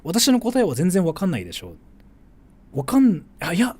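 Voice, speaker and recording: Animated man streaming, fairly high voice. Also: rapid-fire, husky. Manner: informal, switches between English and Japanese. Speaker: streamer, host. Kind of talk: casual livestream chat, ranking commentary.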